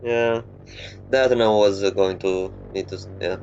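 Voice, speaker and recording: Guy muttering through a flight game, lowish voice, game music going behind. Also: steady tone, a little mellow, muffled recording. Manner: muttering, halting, thinking aloud. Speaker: gamer. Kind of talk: gameplay commentary.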